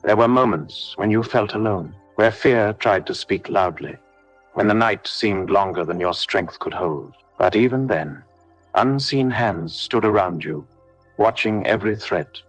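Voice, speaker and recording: Male, very low voice, solemn scripted prayer narration, measured declarations, music underneath. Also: expressive, no echo, low-quality recording.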